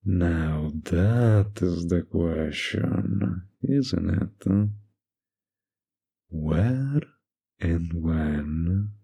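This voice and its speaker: Man acting a slow, gravelly, flirtatious mafia boss. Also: low-pitched, lively intonation, dark tone, exaggerated voice. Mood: sly, teasing. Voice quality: gravelly, husky.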